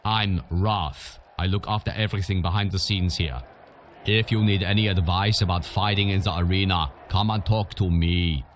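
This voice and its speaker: An actor playing a gruff, hardened arena boss in a video game, bossy and blunt. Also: male voice, deep voice, fairly even intonation, moderate pace, a little hiss or hum, lightly acted. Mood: commanding. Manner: commanding, blunt. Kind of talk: video game character acting.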